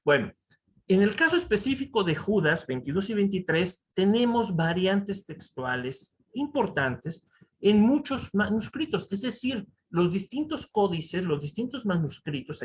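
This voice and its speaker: A man with a Mexican accent giving a conference lecture over slides, animated and full of rhetorical asides to the audience. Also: sits high. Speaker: conference speaker. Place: conference hall.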